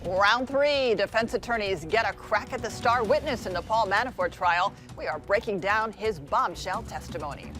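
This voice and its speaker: American lady news anchor, mid-pitched voice, tv news delivery with music under it. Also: moderate pace, few pauses, fairly bright tone. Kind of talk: broadcast news reading.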